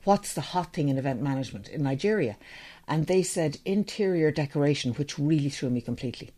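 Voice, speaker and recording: A woman's voice: low voice, lively intonation, fairly quick, warm tone. A middle-aged Irish woman, an interview guest, talking chattily and with enthusiasm about her training business. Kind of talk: casual interview chat.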